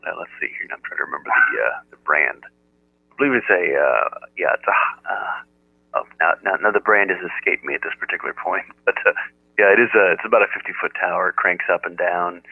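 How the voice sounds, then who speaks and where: Low-voiced American man on narrow-band radio. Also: a little fast, creaky, dry recording. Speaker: amateur radio operator. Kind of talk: casual ham radio chat.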